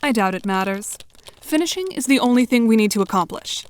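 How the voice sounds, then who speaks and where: A woman's voice: fairly high pitch, animated, a little fast, close to an everyday voice. A woman playing a dry, self-assured, sardonic teenage girl with formal diction in an audio drama.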